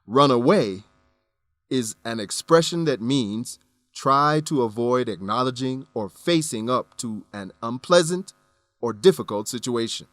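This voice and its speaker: A man hosting an English lesson, reading scripted explanations with careful, deliberate enunciation. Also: mid-range voice, fairly bright tone, dry recording. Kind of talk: scripted educational narration.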